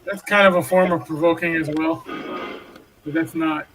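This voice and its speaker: A man's voice: fairly high pitch, fairly even intonation, moderate pace, a bit bright, faint music behind, low-quality recording. A man who explains to viewers what happened during a ghost hunt. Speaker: paranormal investigator host. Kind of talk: casual explaining.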